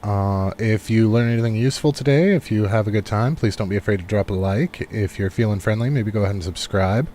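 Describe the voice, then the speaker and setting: A man giving relaxed, rambling live commentary on a game he is playing, thinking aloud as he goes, while recovering from a cold. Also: on the low side, a little fast, few pauses, slight background noise. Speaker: game streamer. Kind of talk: casual live gameplay commentary.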